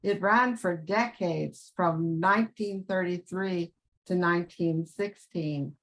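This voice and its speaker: A woman's voice: lowish voice, slow, low-quality recording. An older woman with an American accent reading aloud her prepared personal memories of radio. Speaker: class member sharing radio memories.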